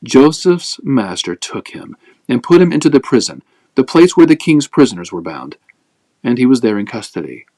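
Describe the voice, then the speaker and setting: A man reading scripture aloud as a narrative, with measured, expressive phrasing, as host of a daily reading. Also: mid-range voice, dry recording, rough recording.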